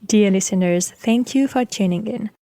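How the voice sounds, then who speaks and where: A woman podcast host reading scripted narration about architecture in a measured, formal way. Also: medium pitch, warm tone, dry recording.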